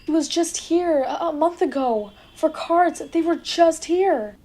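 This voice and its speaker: A teenage girl playing a gentle, loyal and concerned wife in a radio drama. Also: very high voice, measured pace. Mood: saddened. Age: teens, high school student.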